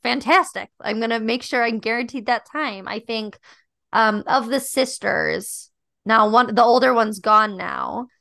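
A young adult woman co-hosting a podcast, chatting in a thoughtful, reflective way with frequent fillers, with a voice she calls high-pitched. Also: unhurried, vocal fry, sharp tone, no echo, clean studio sound. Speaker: podcast co-host. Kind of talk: casual chat about a dating show.

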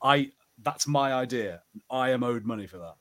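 A man's voice: mid-range voice, lively intonation, moderate pace, a bit bright, slight background noise. A man co-hosting a podcast, talking in casual, unscripted chat. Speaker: podcast co-host.

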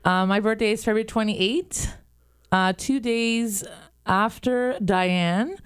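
A woman's voice: a little high, slow, clean tone. A woman in her thirties from Canada, co-hosting a podcast in casual chat, asking incredulous questions with uh fillers. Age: 30s. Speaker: podcast co-host.

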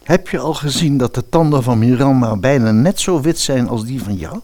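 A man playing a doting middle-aged father in a fairy-tale radio play, gentle, chatty and well-meaning. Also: mid-range voice, animated, normal speed, dry recording, lightly acted. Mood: admiring.

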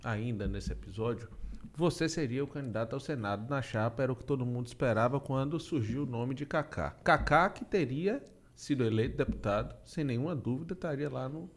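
A man's voice: medium pitch, brisk. A man hosting a studio podcast interview, asking long, probing questions. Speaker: podcast host.

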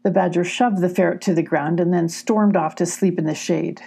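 An older woman narrating a children's storybook as host and reader, in an unhurried, expressive way. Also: on the low side, vocal fry, slightly rough.

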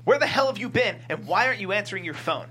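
Man acting sharp, bright-voiced comic characters. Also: high-pitched, lively intonation, fast talker, slight background noise. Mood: furious, abusive. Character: abusive, foul-mouthed boss; also whiny, cowardly sidekick. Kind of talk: live script table read.

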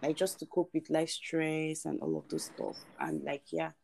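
A woman's voice: low-pitched, little pitch movement, normal speed, vocal fry, a little mellow. A young woman from Nigeria co-hosting a podcast, casual and playful, teasing her co-host and saying she is tired. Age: young adult. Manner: playful, teasing, laughs often. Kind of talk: casual chat.

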